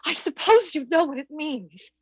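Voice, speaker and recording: A woman plays a dainty, self-denying young woman in a radio melodrama, with a very high, swooping voice. She is earnest, pleading and distressed, heard through a slightly muffled microphone. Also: animated, moderate pace, no echo. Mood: awed, pitying. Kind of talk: old-time radio drama acting.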